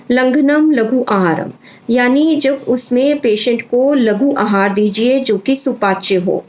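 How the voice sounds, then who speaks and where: A woman's voice: on the high side, a little mellow, low-quality recording. A woman speaking as an Ayurvedic doctor, giving an informal health talk with frequent hesitations and phrases mixed in.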